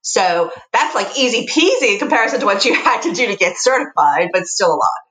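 A woman's voice: medium pitch, big pitch swings, a little fast, a bit bright. A woman lawyer, a podcast guest, talking in a chatty, nerdy way with light laughs. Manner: chatty, self-described nerd, laughs lightly. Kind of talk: casual podcast interview. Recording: echoey room.

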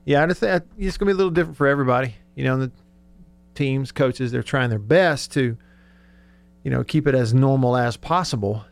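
A man's voice: mid-range voice, fairly quick, vocal fry. A man hosting a casual sports radio show, conversational and thinking aloud as he goes.

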